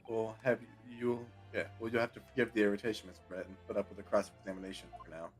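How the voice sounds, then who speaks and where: Man reading game lines, lowish voice. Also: fairly even intonation, fast, soft background music. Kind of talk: reading game dialogue aloud with casual commentary.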